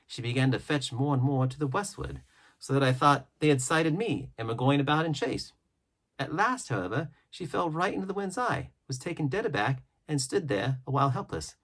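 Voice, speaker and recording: Expressive male storyteller with a husky voice. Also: medium pitch. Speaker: audiobook reader. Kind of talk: expressive read-aloud of a classic adventure novel.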